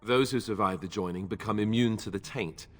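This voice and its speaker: A dry, wry young warden, voiced by a man, with a very deep voice. Faint hiss runs underneath. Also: a little fast, fairly bright tone, close to an everyday voice.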